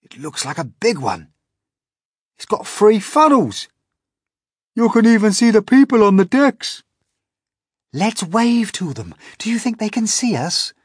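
A man voicing an eager young boy who exclaims in a husky voice. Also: sits high, big pitch swings, a little slow, pauses often, dry recording, clean studio sound, pitched above the performer's own voice, exaggerated voice. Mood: excited.

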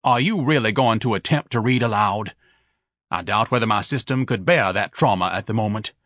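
A man's voice: lowish voice, moderate pace, a bit bright, no room sound, clean studio sound. A man voicing a pompous, lazy grown man who speaks with haughty, elaborate, condescending diction.

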